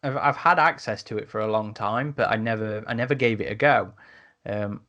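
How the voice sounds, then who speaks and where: A man in a casual podcast discussion as a host, speaking a little haltingly and repeating words as he goes. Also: on the low side, sharp tone.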